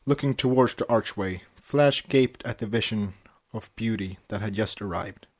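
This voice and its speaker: A man's voice: lowish voice, normal speed. A man reads a story aloud as a podcast narrator, in the style of storybook narration. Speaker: podcast host reading fan fiction aloud. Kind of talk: storybook narration with acted dialogue.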